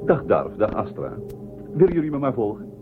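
A man's voice: mid-range voice, wildly swooping pitch, a little fast. A formal male stranger in a radio play, courteous and sure of himself. His intonation swings very widely and stresses words firmly. The thin, phone-like sound has music behind it. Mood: polite, assured. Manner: formal, polite, emphatic. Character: courteous, self-assured male stranger escorting captives.

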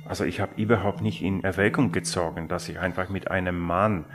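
A man tells his own story in a low voice. He speaks as an interviewee in a documentary. Music runs underneath his words. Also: fairly even intonation, fairly quick. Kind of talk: personal documentary recollection.

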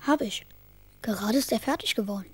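A woman's voice: a little high, fairly quick, breathy edge. A woman playing an eager, earnest and slightly bossy young schoolboy in a children's radio drama, speaking in a direct, childlike way. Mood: matter-of-fact.

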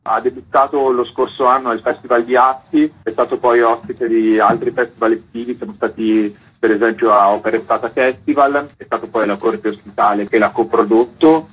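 A man with a slightly husky voice, explaining his work as a theatre interview guest. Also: mid-range voice. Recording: dull, muffled radio interview sound.

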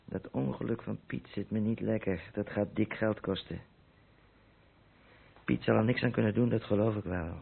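A man's voice: fairly low pitch, fairly even intonation, normal speed, vocal fry, breathy edge, full character voice. An actor with a Netherlands Dutch accent playing a haulage company boss who thinks aloud alone in his office, in a radio play. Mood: weary, grumbling. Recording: muffled, noisy old radio play recording.

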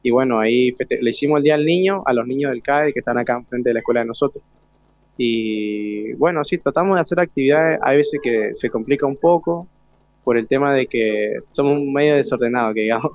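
A man's voice: mid-range voice, a little fast. A young male student in his teens with an Argentine accent, chatting casually and modestly in colloquial speech as he is interviewed. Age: teenager. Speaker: student delegate being interviewed. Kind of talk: casual chat. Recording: dull, lo-fi radio sound.

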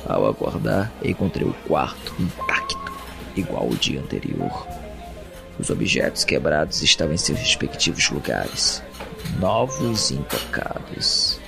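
A man with a Brazilian Portuguese accent playing an anguished captive in an audio drama, narrating his imprisonment in a brooding, deliberate monologue. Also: rumbling low, huge pitch swings, gravelly, exaggerated voice. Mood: haunted, subdued. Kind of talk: dramatic first-person monologue. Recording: music bed under the voice.

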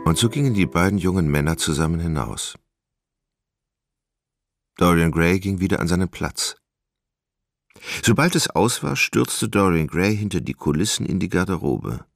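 A man's voice: sits low, animated, normal speed, creaky, long pauses, no room sound, clean studio sound. A man reading scripted literary narration as the narrator of a radio play. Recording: loud music behind the voice.

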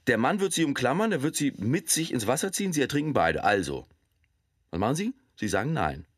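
A man's voice: mid-range voice, sing-song, brisk, no room sound, studio quality. An actor playing a man who argues a hard moral case in an insistent, rhetorical speech for a radio drama. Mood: grave, firm. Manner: insistent, rhetorical, addressing listeners directly.

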